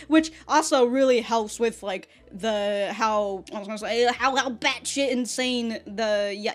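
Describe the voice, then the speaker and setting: High-voiced woman, casual rambling reviewer. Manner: rambling, profane, slangy. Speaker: anime reaction and review host. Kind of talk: casual, off-the-cuff reaction commentary.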